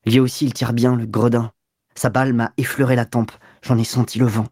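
A boastful young man in an audiobook, read by a man in his own voice. He tells his tale with dramatic confidence in a dark, husky tone. The sound is dry and close. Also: mid-range voice, flat delivery, a little fast, no echo, close to an everyday voice. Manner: self-assured, dramatic storytelling.

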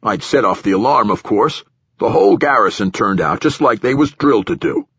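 A man's voice: fairly low pitch, animated, a little fast, fairly bright tone, husky, dry recording, pitched above the performer's own voice, heavily acted. A man voicing a flustered, defensive male station director in an audiobook, deferential and hedging with folksy phrasing. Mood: defensive, excitable. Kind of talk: audiobook character dialogue.

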